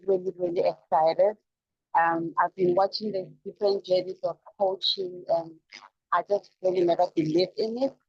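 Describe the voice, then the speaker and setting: A woman's voice: on the low side, breathy edge, no echo, highs cut off. A woman in her fifties, from South Africa, a nurse introducing herself on a video call in a friendly, hesitant way. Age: 50s. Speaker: nurse, participant.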